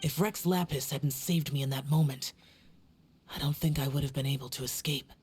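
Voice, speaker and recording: A woman voicing a solemn young male warrior guardian in a video game, speaking in a grave, measured, weary way. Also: very deep voice, steady tone, a little mellow, slight background noise. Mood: reflective.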